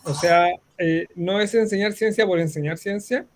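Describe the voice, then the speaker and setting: A Chilean man in his thirties, a science educator, explaining his views clearly as an interview guest. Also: high voice, normal speed, slight background noise. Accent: Chilean Spanish. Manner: articulate, explanatory. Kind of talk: interview answer.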